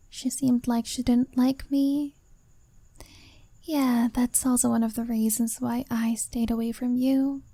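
A woman voicing a shy young woman who confesses her feelings to a younger friend, speaking softly and often in a whisper. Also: a little high, vocal fry, no room sound, full character voice. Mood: wry. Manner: soft, hesitant, often whispered. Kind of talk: ASMR-style roleplay monologue.

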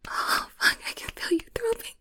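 A woman performing an intimate audio roleplay, whispering and moaning in a teasing, breathy manner. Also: moderate pace, bright, forceful tone. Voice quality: breathy, husky.

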